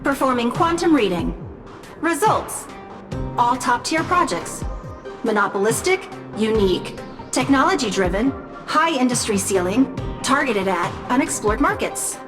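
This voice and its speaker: An actress playing an efficient female business assistant who reports to her boss in a crisp, brisk way for a game cutscene. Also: on the high side, big pitch swings. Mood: briskly reporting. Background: game music.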